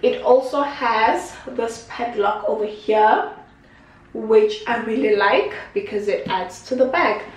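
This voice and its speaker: A woman's voice: on the high side, takes it slowly, recorded from a distance. Congested young woman vlogging in a kitchen. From South Africa. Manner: chatty, affectionate, repeats herself. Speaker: beauty vlogger. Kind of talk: casual unboxing talk to camera. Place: small indoor kitchen. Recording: echoey room, voice off-mic.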